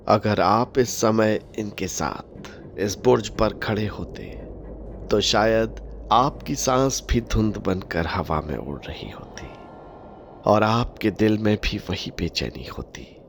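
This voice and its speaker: A man narrates an audio drama with great suspense. He speaks slowly, with wide swings in pitch and a tendency to sink into hushed phrases, over a music bed. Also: vocal fry.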